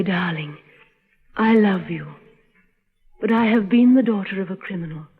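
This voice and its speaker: An actress playing an earnest, principled young woman in love, speaking solemnly and deliberately in a vintage radio drama. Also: on the low side, husky. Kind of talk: 1950s radio drama acting. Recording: muffled old radio recording, music behind.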